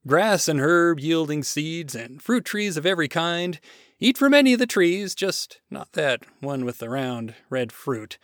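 A man's voice: a little high, very animated, a little slow, a bit bright, no echo, studio quality. A man voicing a mighty, boastful and theatrical God, easily wounded, in a storybook reading. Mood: proud, enthusiastic. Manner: grandiose, showman-like. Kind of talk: short story read aloud.

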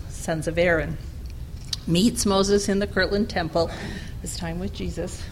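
A woman giving a conference presentation, reading her paper in a measured way at a podium microphone. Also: on the low side, slight background noise. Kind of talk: academic presentation and Q&A. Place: lecture room.

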